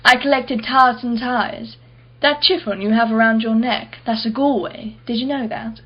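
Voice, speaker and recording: A woman's voice: a little high, fairly even intonation, normal speed, muffled recording, lightly acted. A woman playing a wealthy, blunt and haughty married woman in a radio play, clipped and defensive. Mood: reminiscing.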